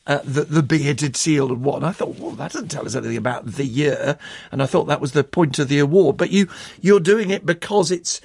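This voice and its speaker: A man's voice: on the high side, fairly quick, vocal fry, darkish tone, husky, no echo. A man presenting live radio news, interviewing a guest with a wry, teasing manner.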